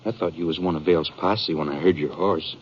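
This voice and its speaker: Dull vintage radio recording, male actor as Western fugitive, lowish pitch, rustic American accent, earnest, halting, frightened, aggrieved. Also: slight background noise, lightly acted. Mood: scared, confiding. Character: frightened, aggrieved Western ranch man on the run. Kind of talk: 1950s radio drama acting. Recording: muffled 1950s radio recording.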